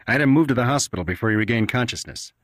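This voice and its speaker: Cynical doctor, flippant, matter-of-fact, somewhat higher voice, as a male narrator puts him on, dry sound. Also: expressive, racing, no echo, close to an everyday voice.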